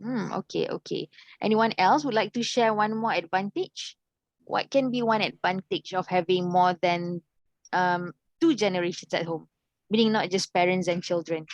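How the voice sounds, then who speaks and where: A woman's voice: on the low side, no room sound, lo-fi sound. Malaysian woman teaching, dry close mic. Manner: mixes English with Malay fillers, drawn-out prompting questions. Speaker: English teacher. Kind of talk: online class discussion.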